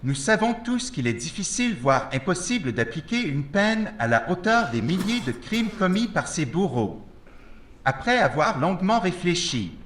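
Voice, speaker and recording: Echoey audio drama: male actor as formal, solemn avengers' spokesman, highish and very intoned. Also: fairly bright tone. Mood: grave, solemn. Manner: measured, formal. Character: solemn meeting speaker and interpreter for war avengers. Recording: very echoey room.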